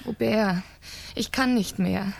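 An actress playing a cold, impatient wife who plots murder, insistent and clipped, in a 1970s crime radio play. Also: female voice, medium pitch, slow, gravelly, heavily acted. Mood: strained, desperate.

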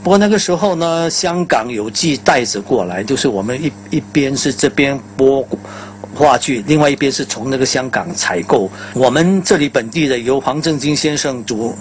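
A man's voice: medium pitch, expressive, normal speed. Older man reminiscing, some background noise. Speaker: veteran radio drama performer being interviewed. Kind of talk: reminiscing in a casual interview.

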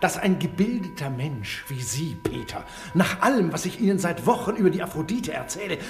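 An actor playing a haughty condemned aristocrat in a radio drama, scornful and gravelly, stammering when riled. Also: male voice, fairly high pitch, lively intonation, fairly quick, a little mellow, reverberant, soft background music. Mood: mocking. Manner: scornful, mocking laughter. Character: condemned aristocrat, older man, haughty and argumentative. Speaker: prisoner led to execution.

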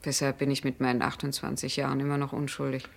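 A woman's voice: extremely low pitch, monotone, quick pace, gravelly, exaggerated voice. An actress in a German radio drama playing a frank, wistful young woman, direct and teasing in her manner. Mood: wistful, challenging.

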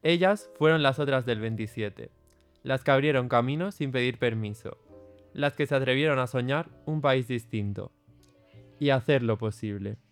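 A man's voice: mid-range voice, moderate pace. A man narrating an audio drama in a solemn, literary storytelling manner. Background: music.

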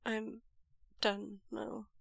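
A woman's voice: a little high, monotone, extremely slow, gravelly, a bit bright, slightly rough, no room sound, heavily acted. Audiobook: woman reading as a young man, tearful and stammering, voice breaking, dry close sound. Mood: hushed, broken. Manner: stammering, voice cracking and choking up.

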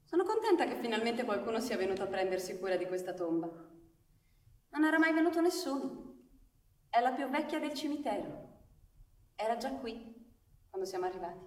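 A woman's voice: on the high side, normal speed, long pauses. An actress performing expressively in a radio play, voicing a woman character. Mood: gentle, curious. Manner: expressive, theatrical. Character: cemetery caretaker's wife, gentle and helpful. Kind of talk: live radio theatre acting with music. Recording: roomy, echoing stage sound, distant mic.

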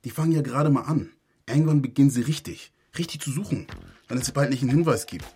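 A man's voice: medium pitch, fast talker, a little mellow, breathy edge. An actor playing a cautious, plain-spoken man who warns his friends bluntly in a radio drama. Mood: blunt, warning. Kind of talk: naturalistic radio drama acting.

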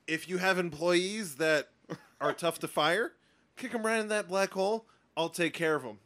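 Male podcast co-host, high bright voice, improvised party-game banter. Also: normal speed.

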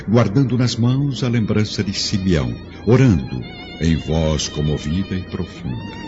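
Deep-voiced solemn male narrator. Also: wildly swooping pitch. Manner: measured, solemn storytelling. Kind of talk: scripted dramatic narration. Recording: dull lo-fi audio under loud music.

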